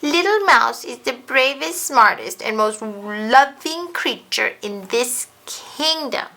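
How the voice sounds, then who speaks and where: A brave young elephant-headed boy god from a storybook, played by a woman. He speaks earnestly and emphatically in a lifted, bright voice with some creak. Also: high voice, expressive, slow, pitched above the performer's own voice, exaggerated voice. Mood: defiant.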